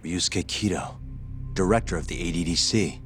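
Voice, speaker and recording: A man's voice: low-pitched, normal speed, lightly acted. A man acting a serious, assertive character in a video game crime drama, speaking in a terse, matter-of-fact way. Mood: firm, serious. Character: serious, assertive man reasoning through a murder case. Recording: loud music behind the voice.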